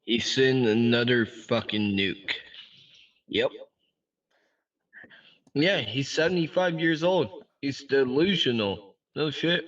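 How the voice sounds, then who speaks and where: A man on a video-chat link speaks very slowly and offhandedly, with casual profanity. His voice comes from far off the mic and sounds dull and muffled through the call. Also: mid-range voice, expressive, fairly bright tone. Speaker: random video chat stranger.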